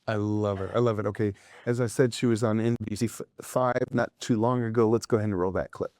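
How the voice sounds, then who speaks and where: A male talk show host in his fifties speaks with a lowish voice in a TV studio. He chats in a relaxed way, with filler words. The sound is dry and close. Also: quick pace, no echo. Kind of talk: casual studio interview.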